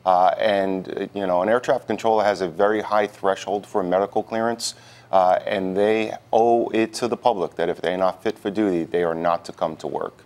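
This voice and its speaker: A man answering questions as a guest in a live TV news interview, speaking haltingly with repeated words. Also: deep voice, steady tone, a little mellow. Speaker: union president, interview guest.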